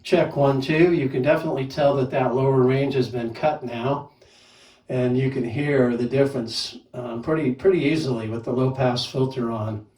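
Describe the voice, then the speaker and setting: A man's voice: medium pitch, steady tone, moderate pace, a little mellow, roomy sound, far from the mic, rough recording. A man casually reviewing a microphone, talking plainly and counting off mic tests. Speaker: gear reviewer. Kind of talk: casual product demo with mic checks.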